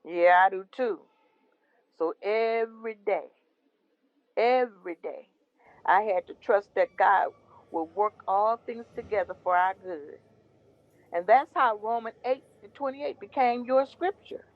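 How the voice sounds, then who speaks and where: A woman playing Mama Ronnie, a devout foster mother who gently consoles her jailed son in an audio drama. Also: medium pitch, measured pace, no room sound, lo-fi sound, natural voice. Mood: earnest. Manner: gentle, consoling, preaching faith. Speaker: Drew's mother.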